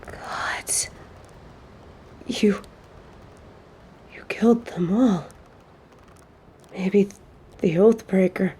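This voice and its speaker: A woman's voice: mid-range voice, extremely slow, pauses often, warm tone, slight background noise, pitched above the performer's own voice. A woman playing an earnest, protective, foul-mouthed female agent in a dramatic audio roleplay. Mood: shocked, subdued. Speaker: field agent.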